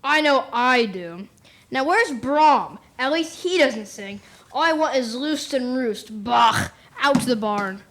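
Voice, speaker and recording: A young female performer playing a gruff, protective, wealthy farmer father in a school radio play. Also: high voice, animated, takes it slowly, a bit bright, slight background noise, full character voice. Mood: grumbling. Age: young teenager.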